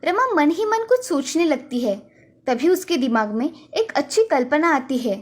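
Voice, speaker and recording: A woman's voice: high-pitched, sing-song, moderate pace. A woman narrating a scripted children's story as a storyteller.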